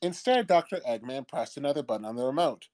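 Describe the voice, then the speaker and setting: Mid-pitched man narrating a story. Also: animated, fast talker, darkish tone. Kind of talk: scripted comedic fanfiction narration. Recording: old-timey low-quality mic, background music.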